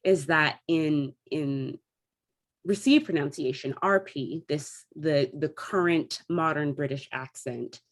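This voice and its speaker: A woman's voice: sits low, takes it slowly. A woman with an American Midwestern accent explains things in a casual, chatty way, like a theatre member discussing her craft. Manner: chatty, demonstrates vowel sounds as she explains. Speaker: theatre company member recounting dialect training.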